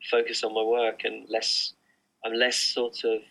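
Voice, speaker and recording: A man's voice: mid-range voice, monotone, measured pace, vocal fry, pushed and bright, low-quality recording. A middle-aged man, an artist, answering interview questions online in a casual, hesitant way with many fillers and restarts. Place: remote online recording.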